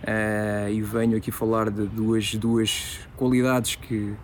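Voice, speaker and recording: A man, an assistant coach giving a formal recorded tribute with hesitant pauses. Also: lowish voice, little pitch movement, a little hiss or hum.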